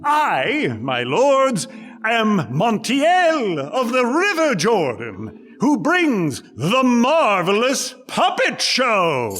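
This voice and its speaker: An actor playing a flattering, grandiose con-man showman with florid, oily salesmanship in a stage-style reading of a classical comic play. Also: very high-pitched, very slow, in a large hall, a little hiss or hum, exaggerated voice.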